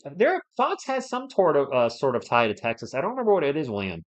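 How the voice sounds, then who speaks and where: A man's voice: fairly high pitch, wildly swooping pitch, fast talker, dull audio. A man who is a bit under the weather hosts a live sports stream, giving casual fan play-by-play and chatting with viewers by name in a conversational, playful way.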